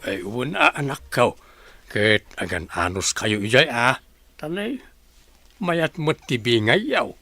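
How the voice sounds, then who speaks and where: A man playing a caring older village father who gives advice, gravelly and Ilocano-accented, in a radio drama. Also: mid-range voice, sing-song, slow pace, sharp tone, no echo, exaggerated voice. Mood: advising. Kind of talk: radio comedy-drama acting.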